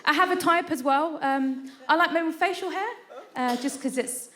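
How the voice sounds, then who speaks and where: A woman's voice: high-pitched, moderate pace, fairly bright tone, a faint crowd. A woman in her thirties doing a stand-up set on a theatre stage, chatty and self-deprecating, often tossing in "right?" asides. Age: 30s. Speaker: stand-up comedian. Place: live theatre stage with audience.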